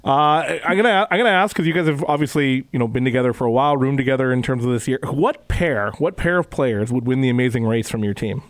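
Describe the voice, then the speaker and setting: A man's voice: medium pitch, quick pace, few pauses, dry recording. A man hosting a casual sports radio interview show from a studio, rambling and repeating words as he restarts sentences. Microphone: studio microphone.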